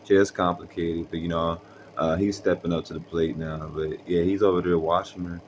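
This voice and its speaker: A young man with a very deep voice tells a story in casual slang, rambling with lots of fillers. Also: level tone, normal speed, dull audio, slight background noise. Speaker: vlogger.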